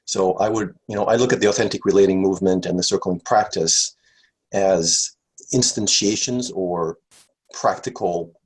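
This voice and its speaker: A man's voice: sits low, normal speed, breathy edge, rough recording. A middle-aged man from Canada and the USA, a nervous guest author who stammers and repeats words while talking on a video call. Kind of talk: interview conversation.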